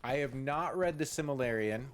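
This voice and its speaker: A man chatting casually and ramblingly as a game streamer, informal and halting, leaning on key words. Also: mid-range voice, slight background noise. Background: game music.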